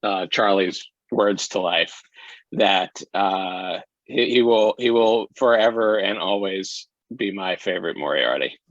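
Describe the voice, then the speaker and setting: A man's voice: deep voice, takes it slowly, no room sound. A man speaking as a podcast guest, a director chatting casually in an interview over slightly dull audio.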